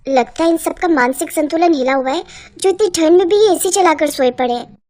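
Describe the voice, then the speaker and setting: A woman voicing an exasperated, sarcastic young daughter-in-law in a cartoon, complaining with a sharp tongue. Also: extremely high pitch, fairly quick. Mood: grumbling. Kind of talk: Hindi cartoon voice acting.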